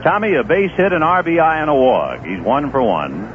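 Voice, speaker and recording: A man doing radio play-by-play of a baseball game, easygoing and folksy, with chatty asides between pitches. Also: animated, moderate pace. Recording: tinny old AM radio broadcast with faint hiss.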